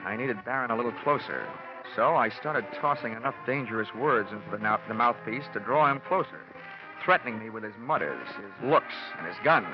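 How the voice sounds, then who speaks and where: An actor playing a cocky, world-weary private detective with a wry, slangy delivery in a classic radio play. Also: male voice, mid-range voice, expressive, brisk, close to an everyday voice. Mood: tense narration. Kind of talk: classic radio detective acting with first-person narration. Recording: muffled old radio recording, music behind.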